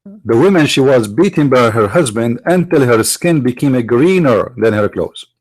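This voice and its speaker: A man whose first language is Arabic, from the Middle East, arguing in a sarcastic, mocking tone and slowing down to stress quoted phrases. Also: medium pitch, animated, darkish tone, dry recording, rough recording. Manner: sarcastic, mocking rhetorical questions. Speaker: apologist speaker. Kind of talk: argumentative lecture.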